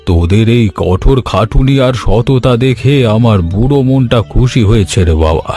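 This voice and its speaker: A man's voice: medium pitch, expressive, normal speed, warm tone, soft background music, natural voice. A man voicing an affectionate, fatherly old village uncle in a cartoon story. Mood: kindly, blessing. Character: kindly old village uncle blessing hardworking young farmers. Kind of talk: Bengali cartoon voice acting.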